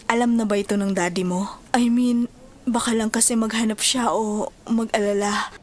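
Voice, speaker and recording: A woman's voice: fairly high pitch, steady tone, moderate pace, natural voice. A woman playing a caring, forgiving middle-aged stepmother with a Filipino accent in an audio drama. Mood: mild concern. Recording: music bed behind the voice.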